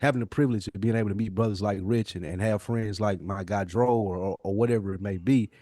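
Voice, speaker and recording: A man's voice: on the low side, steady tone, fast, dark tone, no room sound. American man, close dry sound, casual and emphatic. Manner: emphatic, slangy, acts out imagined dialogue. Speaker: podcast co-host. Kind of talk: casual conversational podcast discussion.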